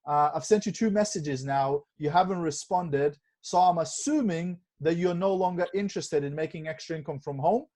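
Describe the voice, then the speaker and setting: A man from Lithuania hosting a video call training, talking in a relaxed, conversational way with many fillers. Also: fairly high pitch. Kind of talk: casual coaching on a video call.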